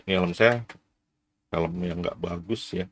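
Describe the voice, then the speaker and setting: Guy in his 40s doing a casual gear review, low voice, bright and forceful. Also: a little slow. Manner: frequent "ya" tags, explanatory. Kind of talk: casual product review to camera.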